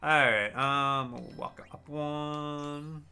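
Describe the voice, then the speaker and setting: A man live-streaming a game, chatting casually with his viewers in a deliberately raised, customer-service-style register. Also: a little high, steady tone, pushed and bright, smooth, soft background music. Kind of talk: casual live-stream chat while gaming.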